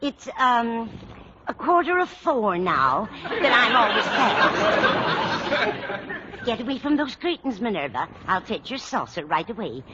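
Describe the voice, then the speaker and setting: A woman's voice: a little high, sing-song, measured pace, over a noisy crowd. An actress playing a kindly, absent-minded older landlady who dithers earnestly in a 1940s radio comedy. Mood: fussing. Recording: muffled, hissy old radio broadcast.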